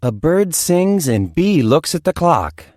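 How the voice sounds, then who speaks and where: Slow, very expressive woman storybook narrator. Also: deep voice, no echo, studio quality. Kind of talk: expressive children's read-aloud narration.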